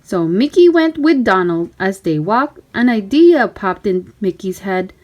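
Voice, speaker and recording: A woman reading a children's story aloud in an animated, emphatic, child-directed way. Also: medium pitch, a little slow. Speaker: storybook reader. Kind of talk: expressive children's read-aloud narration.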